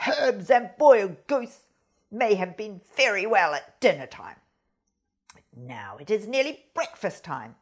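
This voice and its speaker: Woman putting on an angry, gruff storybook fox, pitched down. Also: sing-song, measured pace. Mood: furious.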